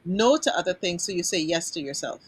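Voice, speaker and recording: A woman's voice: fairly low pitch, animated, fairly quick, breathy edge. A woman from Trinidad with a Trinidadian accent, hosting a casual talk show and speaking in an effusive, emphatic way. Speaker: host.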